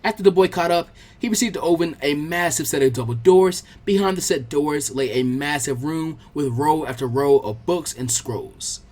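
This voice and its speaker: A man giving an expressive scripted narration of a fan fiction story as an audiobook. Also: fairly high pitch, normal speed, hardly any pauses.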